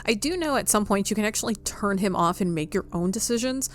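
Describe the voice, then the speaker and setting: A woman's voice: mid-range voice, expressive, quick pace, slight background noise. A woman hosting a gaming channel, giving casual let's-play commentary as she plays. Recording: faint music behind the voice.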